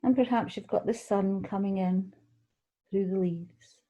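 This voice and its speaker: A woman leading a guided relaxation meditation, speaking gently and soothingly, unhurried, with pauses between phrases. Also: medium pitch, dull audio. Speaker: guided meditation leader, tai chi qigong teacher.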